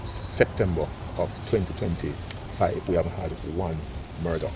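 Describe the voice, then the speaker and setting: A police official giving a formal briefing of crime figures in a measured, factual way, with short filler pauses. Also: male voice, sits low, steady tone, background noise.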